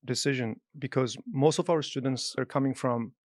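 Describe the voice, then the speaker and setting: A man's voice: mid-range voice, normal speed, dry recording, clean studio sound. A man hosting a podcast, asking questions in a curious way with non-native grammar. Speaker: podcast host. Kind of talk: casual interview talk.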